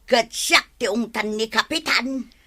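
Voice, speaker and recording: An actress playing a bossy, nagging village woman in a radio comedy drama, scolding in drawn-out exclamations with an Ilocano accent. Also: on the high side, bright, forceful tone. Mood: defensive, complaining.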